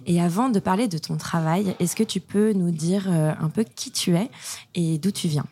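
A woman's voice: fairly low pitch, moderate pace, mellow, husky. A woman hosting a podcast, reading a scripted introduction and then asking friendly interview questions. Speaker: podcast host.

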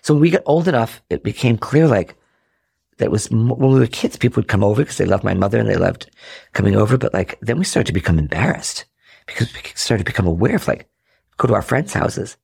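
A man in his forties from Rhode Island, a podcast guest telling candid stories, acting out family dialogue in lively bursts. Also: lowish voice, quick pace, vocal fry, slightly rough, no room sound, studio quality. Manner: animated, acts out quoted family dialogue. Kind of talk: candid storytelling in conversation.

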